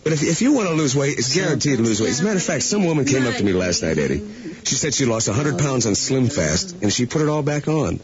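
A man's voice: medium pitch, big pitch swings, fast, a crowd in the background. An American man hosting a morning radio show with top-40 DJ patter and banter. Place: radio studio. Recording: dull aircheck tape with music behind.

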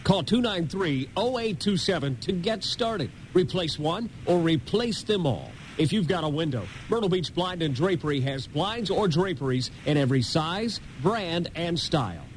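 A man delivering an upbeat scripted sales pitch as a commercial announcer. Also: expressive, normal speed, few pauses, slight background noise.

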